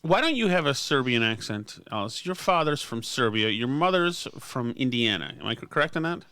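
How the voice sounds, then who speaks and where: A man's voice: mid-range voice, big pitch swings, brisk, sharp tone, clear, resonant tone, dry recording. An American man hosting a podcast in casual political chat, with a sardonic manner. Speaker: podcast host.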